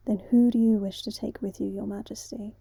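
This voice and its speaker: A woman's voice: mid-range voice, flat delivery, a little fast, gravelly, warm tone, husky, dry recording. A woman voicing a formal, collected, reserved servant of a king in a fantasy video game. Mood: calm, formal. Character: formal, calm, controlled game host serving a king.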